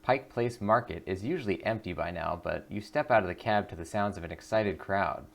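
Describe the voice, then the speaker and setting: A man's voice: deep voice, a little fast, creaky. A man hosting a gaming video, giving casual commentary and reading the game's text aloud. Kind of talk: casual game commentary with read-aloud narration.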